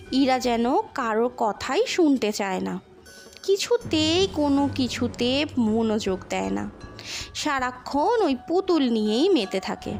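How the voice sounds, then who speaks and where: Woman storyteller, high-pitched voice, slow pacing, expressive dramatic narration, music underneath. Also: gravelly.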